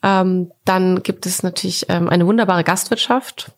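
A woman's voice: lowish voice, steady tone, normal speed. Thoughtful woman in her forties. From Germany, Coburg. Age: 40s. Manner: thoughtful, hesitant, many fillers. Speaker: podcast guest, art collector. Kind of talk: relaxed conversational interview.

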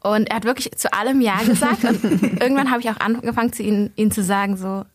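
A woman in her thirties, a podcast guest, telling lively stories in a casual chat and laughing often. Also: mid-range voice, fast talker. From Germany, Berlin.

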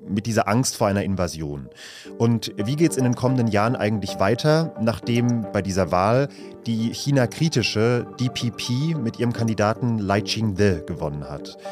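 A man hosting a news podcast, narrating a scripted report in a calm, measured way from an office. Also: fairly low pitch, music in the background. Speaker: podcast host.

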